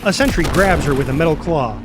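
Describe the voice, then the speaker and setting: A man's voice: a little high, lively intonation, brisk, background noise. A man reading scripted screenplay narration and stage directions dramatically, voicing the sound effects himself.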